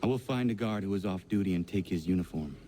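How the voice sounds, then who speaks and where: An actor playing an earnest, idealistic young assassin in a video game, speaking formally and bluntly. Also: male voice, low voice, dark tone, natural voice. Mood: resolute. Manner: formal, blunt, measured wording. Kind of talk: video game cutscene acting. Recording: faint background ambient noise.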